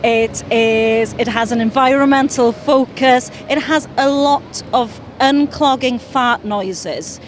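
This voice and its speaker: A woman's voice: fairly high pitch, slow, hardly any pauses, a little hiss or hum. A Welsh woman chatting playfully in an outdoor interview, laughing easily as she talks about her show. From Wales. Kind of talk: casual interview. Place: busy street outdoors.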